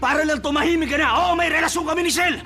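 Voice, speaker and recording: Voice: high voice, soft background music. A hot-tempered cheating young man. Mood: angry shouting. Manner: dismissive, mocking, quick to shout. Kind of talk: radio drama acting.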